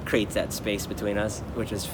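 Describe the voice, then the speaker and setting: A man's voice: on the low side, flat delivery, normal speed, very noisy. A man, a dancer with the company, chatting casually and earnestly, often restarting his sentences. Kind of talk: casual chat.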